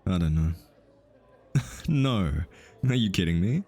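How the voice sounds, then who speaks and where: A man's voice: deep voice, expressive, slow pace, dark tone, heavily acted. A man voice-acting an ASMR roleplay as a pretentious, easily flustered hitman posing undercover as a society consultant, with an arch, teasing and theatrically polite manner. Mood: haughty, scoffing. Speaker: voice actor.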